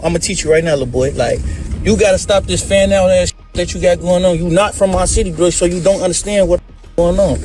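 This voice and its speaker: A man's voice: high-pitched, darkish tone. A man lecturing someone in heated, confrontational street talk.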